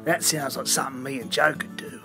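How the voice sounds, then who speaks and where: A woman's voice: very deep voice, fairly even intonation, fairly quick, a bit bright, faint music behind. A woman voicing a cheery young male Australian backpacker in a homemade stop-motion cartoon, slangy and laid-back. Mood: easygoing, matey.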